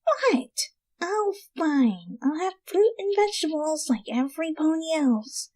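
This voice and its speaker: Woman voicing a brash, high-pitched undead pony. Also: big pitch swings, unhurried, darkish tone. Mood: hungry, musing. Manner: slangy, pushy, wheedling. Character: brash undead pegasus mare, casual and hungry.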